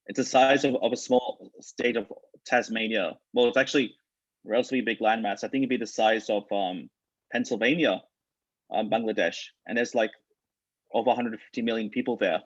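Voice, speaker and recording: A man's voice: lowish voice, steady tone, a little fast, dry recording, muffled recording. A man moderating a panel discussion, speaking in formal, wordy phrases. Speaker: webinar moderator.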